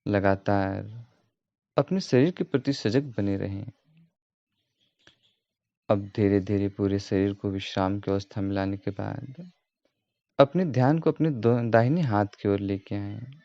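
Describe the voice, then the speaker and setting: A man giving guided meditation instruction as a yoga teacher, in a calm, measured, repetitive way. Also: fairly low pitch, vocal fry. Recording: roomy echo, dull muffled sound.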